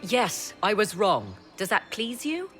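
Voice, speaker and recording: A woman's voice: medium pitch, huge pitch swings, slow pace, a bit bright, faint music behind, heavily acted. A woman playing a haughty, enigmatic sorceress in a fantasy game, speaking in arch, formal, archaic phrases. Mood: defensive. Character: haughty, enigmatic sorceress advisor, learned in old legends. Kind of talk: dramatic fantasy game acting. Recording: clear background ambience noise.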